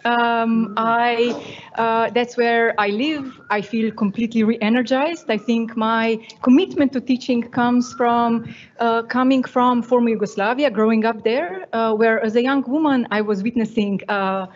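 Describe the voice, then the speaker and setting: Middle-aged woman from slovenia, lots of ums and uhs, higher voice, muffled lo-fi sound. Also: unhurried, a little hiss or hum. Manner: hesitant, many fillers, earnest. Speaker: panellist, university teacher. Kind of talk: panel discussion answers.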